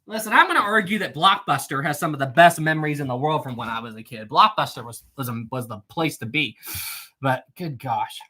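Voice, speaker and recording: A man in his thirties talks casually on a live stream. His voice is bright and lively, swinging high and low as he teases viewers. He laughs often and keeps clearing his throat. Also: a little fast. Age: 30s. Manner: playful and teasing, laughs often. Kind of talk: casual livestream chat reading comments.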